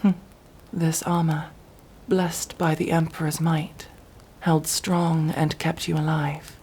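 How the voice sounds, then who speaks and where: Zealous battle nun, played by a woman, sci-fi audio roleplay. Unhurried, solemn, preacherly delivery over noticeable background noise. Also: low-pitched, level tone, darkish tone, low-quality recording. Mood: gentle, pious. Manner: solemn, preaching, archaic formal phrasing. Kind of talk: ASMR roleplay, dramatic monologue.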